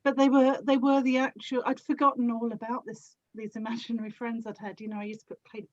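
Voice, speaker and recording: A woman's voice: fairly high pitch, little pitch movement, fast talker, lo-fi sound. A woman with a British accent chatting casually as a guest on a podcast. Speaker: podcast guest, audio drama actor and producer. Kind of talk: casual conversational chat.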